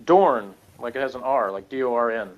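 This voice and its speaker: A man with a mid-pitched voice talks casually on a review podcast. The sound is dull and lo-fi. He explains himself in a halting, roundabout way, often backing up to rephrase. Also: lively intonation. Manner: halting, rambling, self-correcting explanations.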